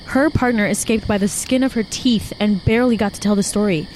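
A woman's voice: medium pitch, brisk, darkish tone, slight background noise, lightly acted, poor audio. A woman playing a suspicious, headstrong young hiker with an earnest, urgent delivery in a naturalistic audio drama. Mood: uneasy, musing. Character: suspicious, headstrong young woman lost camping, haunted by lake.

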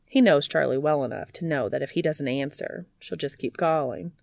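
A woman reading a story aloud as scripted narration, in an expressive audiobook style. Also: low-pitched, moderate pace, creaky, dry recording, clean studio sound. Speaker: audiobook reader of fan fiction.

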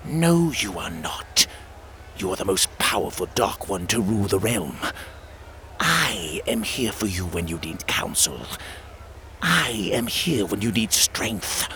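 A man's voice: lowish voice, big pitch swings, bright, forceful tone, exaggerated voice. A man voicing a sinister, manipulative voice inside a character's mind that hisses and coaxes. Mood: coaxing. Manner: hissing, coaxing, slow.

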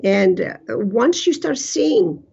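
A woman in her sixties with a Greek accent answers interview questions with blunt self-assurance. Her voice is husky and creaky, at an average pitch for a woman. She tells personal stories emphatically, and the audio is somewhat dull and lo-fi. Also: big pitch swings, slow, darkish tone. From Greece. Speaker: interview guest, physician and author. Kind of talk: conversational personal storytelling.

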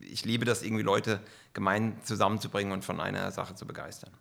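Gravelly, bright-voiced German man. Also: lowish voice, little pitch movement, fast talker. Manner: enthusiastic, many fillers. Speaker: football club executive. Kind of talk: podcast interview answers.

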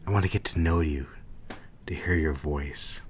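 A low-pitched, secretive gentleman in love, played by an actor in a period radio romance. He is intimate and coaxing, with faint noise behind him. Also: moderate pace. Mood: tender, coaxing. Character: smitten, secretive well-to-do gentleman lover. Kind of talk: romantic period audio drama acting.

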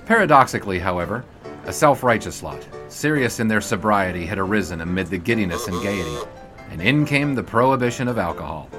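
Casting: male actor as cocky 1920s newspaperman, low register, wry period storyteller, audio drama with music underneath. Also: lively intonation, a little fast, natural voice. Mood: wry, reflective. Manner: wry, storytelling, old-fashioned phrasing. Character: 1920s sportswriter, cocky, flippant charming man looking back. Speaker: narrator and lead character. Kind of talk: scripted period audio drama narration and dialogue.

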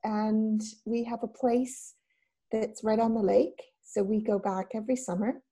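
A middle-aged woman with a Canadian accent, a guest telling her life story in a casual interview, relaxed and chatty with many ums and restarts. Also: on the high side, measured pace, husky. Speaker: guest entrepreneur.

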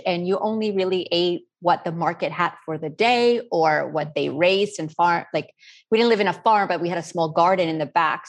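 A middle-aged woman from Spain answering interview questions in an earnest, rambling, conversational way. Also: lowish voice. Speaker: interview guest, self-taught cook.

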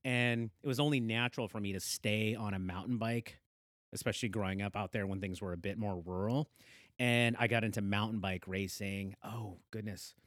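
A man's voice: on the low side, steady tone, moderate pace, a bit bright, no echo, clean studio sound. A man in his forties, born in the Philippines, speaking earnestly as a guest in a conversational interview, with emphatic agreements and frequent fillers. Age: 40s.

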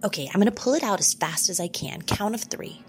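Actress as eager apologetic woman sidekick, lively swinging pitch, helpful, comedy audio drama, faint music. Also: a little fast, close to an everyday voice. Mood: anxious, helpful.

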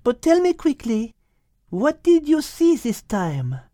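A man's voice: extremely high pitch, wildly swooping pitch, slow pace, dark tone, dry recording, studio quality, voice pushed up, full character voice. A man voicing a dainty, affectionate little female animal with a French accent. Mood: fond, eager. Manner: affectionate, exclamatory.

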